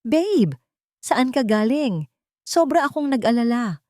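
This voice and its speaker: A woman's voice: a little high, big pitch swings, a little slow, warm tone, no room sound, clean studio sound, voice pushed up. A woman voicing a scheming girlfriend who coos with false care and casual dismissiveness in a dramatic love story. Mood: sweetly concerned. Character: sweet-talking, scheming girlfriend, falsely caring.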